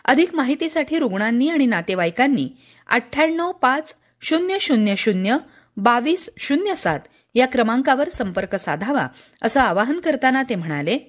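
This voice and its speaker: A woman presents a scripted radio newsreel in a formal, measured manner. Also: sits high, big pitch swings. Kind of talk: scripted radio news narration.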